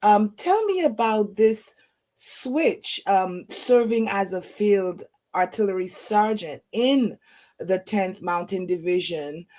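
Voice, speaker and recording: A woman hosting an interview over a video call, asking questions slowly with many ums and uhs. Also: medium pitch, expressive, mellow. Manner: slow, halting with many fillers. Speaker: host.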